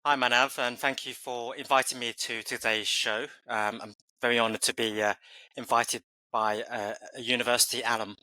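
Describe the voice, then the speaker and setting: A man's voice: mid-range voice, level tone, moderate pace, sharp tone, dry recording, low-quality recording. A man in his forties from England, answering interview questions thoughtfully with many fillers and restarts. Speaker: podcast guest.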